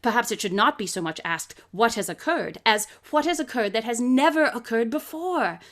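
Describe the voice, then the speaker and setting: Pompous high-pitched brilliant detective. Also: female voice, animated, moderate pace, fairly bright tone. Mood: animated, superior. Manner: pompous, didactic, precisely articulated. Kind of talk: live radio drama acting. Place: closet or desk at home.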